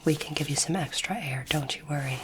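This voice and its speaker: A woman whispers gently and breathily, playing a polite alien space doctor in an ASMR exam. Her delivery is measured, reassuring and very level in tone. The recording carries clear background noise. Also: sits low. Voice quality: breathy, mostly unvoiced whisper. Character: courteous, curious alien space doctor examining a human. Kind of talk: whispered ASMR medical roleplay. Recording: noisy close recording, clicking sounds.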